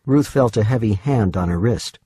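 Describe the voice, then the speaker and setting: Low, husky male audiobook narrator. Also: expressive, moderate pace, dark tone, no echo, clean studio sound. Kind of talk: scripted fiction narration. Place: dry studio.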